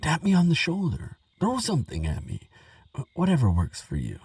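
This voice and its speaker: A man playing a nervous, kindly, chatty researcher in an audio roleplay, hesitant and gentle, rambling with small stammers. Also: a little high, huge pitch swings, normal speed, breathy edge, no room sound, distant mic, exaggerated voice. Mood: awkward, apologetic. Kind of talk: audio roleplay monologue addressed to the listener.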